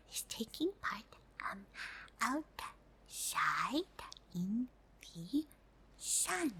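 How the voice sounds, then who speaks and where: A woman voicing a friendly, curious alien in a whispered ASMR roleplay, halting and politely formal, in a soft breathy voice. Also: mid-range voice, exaggerated voice. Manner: halting, hesitant, politely formal, third-person self-reference. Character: friendly curious pink female alien host, shy and hesitant.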